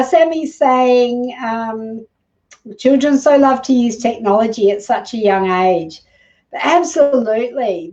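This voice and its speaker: A woman's voice: a little high, darkish tone, recorded from a distance, highs cut off. A woman hosting a live shopping stream, chatting casually and encouragingly as she reads out viewer comments. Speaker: live shopping show host.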